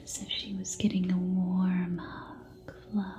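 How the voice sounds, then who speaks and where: A woman telling a story in a soft ASMR whisper, close to the microphone from ear to ear, leaving long pauses between short phrases. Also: lowish voice, monotone, muffled recording, soft background music. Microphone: close binaural microphone, ear to ear.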